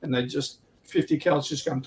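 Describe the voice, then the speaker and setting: A man on a livestream panel, telling stories casually and repeating himself in a halting way as he explains. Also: on the high side, steady tone, a little hiss or hum. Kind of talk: casual chat, informal storytelling.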